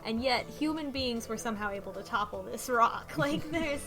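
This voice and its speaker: A woman's voice: a little high, normal speed, rough recording. A woman talking casually in a group discussion, in an earnest, analytical way. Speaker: book club podcast panelist. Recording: music playing behind the voice.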